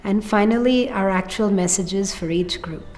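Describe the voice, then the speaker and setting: A woman's voice: medium pitch, moderate pace, a little mellow, a little hiss or hum. A woman explaining a project step by step, in the manner of a documentary interview. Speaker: project team member. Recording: music bed under speech. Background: music.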